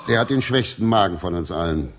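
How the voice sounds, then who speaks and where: A man playing a courteous, theatrical English actor in a radio drama, with a deep, husky voice. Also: lively intonation, a little fast, background noise. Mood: hearty. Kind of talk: German radio drama acting.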